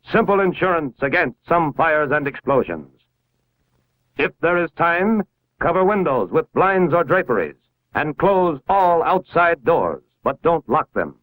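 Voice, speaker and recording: An American man with a slightly high voice reads a scripted civil defense narration in the style of a 1950s educational film. His delivery is formal and instructional, giving directions with authority. The sound is a vintage film soundtrack, recorded very dry. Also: fairly bright tone, dry recording, low-quality recording. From United States.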